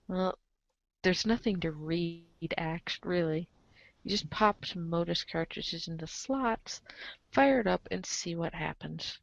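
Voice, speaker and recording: A woman's voice: on the low side, slow, gravelly, no room sound, muffled recording. A woman reading a comic aloud as the show's narrator, theatrical and playful, slipping into casual asides. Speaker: narrator of a comic read-along show.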